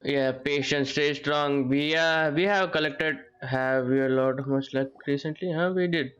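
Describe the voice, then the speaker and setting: A man's voice: fairly high pitch, fairly even intonation, measured pace, fairly bright tone, highs cut off. A man giving casual live gameplay commentary as a streamer, thinking aloud in a rambling way and repeating phrases. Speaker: game streamer. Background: game music and character voices.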